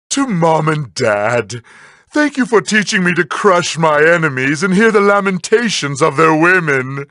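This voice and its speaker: Theatrical high-pitched evil mad scientist. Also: male voice, bright, forceful tone, dry recording, clean studio sound, heavily acted. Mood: gleefully sinister. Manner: pompous, mocking, drawn-out theatrical delivery. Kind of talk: scripted comedic in-character monologue.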